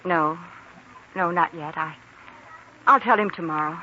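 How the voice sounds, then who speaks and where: A woman's voice: lowish voice, unhurried, bright, forceful tone, muffled recording. An actress playing an affectionate, considerate young woman engaged to an intern in a 1940s radio drama. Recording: thin, noisy 1940s radio recording.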